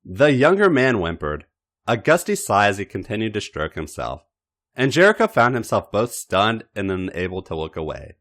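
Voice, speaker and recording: A man reading a story aloud in a dramatic, expressive narrating style, with theatrical emphasis and deliberate pauses. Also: lowish voice. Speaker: podcast host reading fan fiction.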